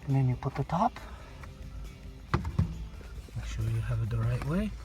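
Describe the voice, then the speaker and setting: A man's voice: mid-range voice, lively intonation, a little slow, over loud music. Brazilian-accented man fixing a car. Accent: Brazilian Portuguese. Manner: loose, repetitive, stop-start explaining while working. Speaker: DIY car repair youtuber. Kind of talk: casual how-to demonstration. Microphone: phone held in one hand.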